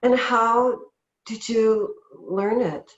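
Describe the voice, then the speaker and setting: A woman's voice: a little high, extremely slow, roomy sound, dull audio. A woman hosting a podcast, asking curious conversational questions. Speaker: podcast host. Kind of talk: casual interview questions.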